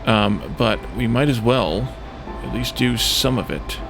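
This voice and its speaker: A man hosts a casual let's play with a noticeably gravelly, fry-laden voice. He thinks aloud as he goes, halting and hesitant between decisions. Music plays clearly behind his commentary. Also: medium pitch, poor audio. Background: music in the background.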